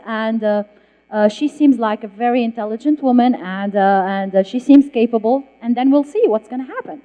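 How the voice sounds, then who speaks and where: A woman, an author telling a story on a festival panel with emphatic restarts. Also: on the high side. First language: Arabic. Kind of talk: public panel talk with audience. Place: festival hall stage. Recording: dull, echoey hall sound.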